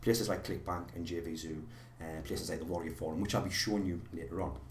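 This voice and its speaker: Hoarse man teaching a live webinar. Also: low-pitched, steady tone, fairly quick, roomy sound, a little hiss or hum. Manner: rambling, many fillers and restarts. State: slightly hoarse from a cold. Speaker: online course trainer.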